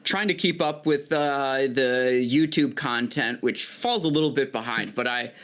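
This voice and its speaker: A man of middle pitch guesting on a casual gaming podcast. He talks in a steady, fairly monotone, informative way, with lots of fillers and restarts. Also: unhurried.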